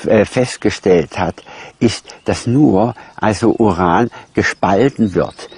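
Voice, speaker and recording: A man explaining something off the cuff as an interviewee, haltingly and repeating his phrases. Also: fairly low pitch, darkish tone, breathy edge, no echo. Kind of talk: spontaneous explanation.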